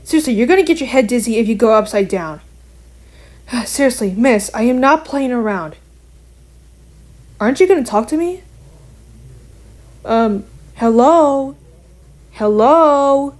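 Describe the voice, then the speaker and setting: A woman's voice: a little high, expressive, a little slow, pauses often, darkish tone. A woman voicing an earnest, wary young bat heroine in an amateur cartoon, talking in a casual, hesitant way. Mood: annoyed. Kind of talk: amateur animated voice acting.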